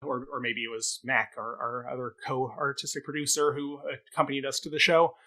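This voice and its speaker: A man's voice: medium pitch, flat delivery, brisk, bright, forceful tone. A man co-hosting a theatre review talk, speaking conversationally in an articulate, wordy way with careful hedging.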